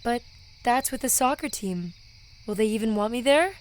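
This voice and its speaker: A woman's voice: fairly high pitch, animated, normal speed, a little hiss or hum, lightly acted. A woman playing a withdrawn, weary teenage girl in an audio drama, hesitant and apologetic. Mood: reluctant, withdrawn. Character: weary, withdrawn, depressed teenage girl, a high school student.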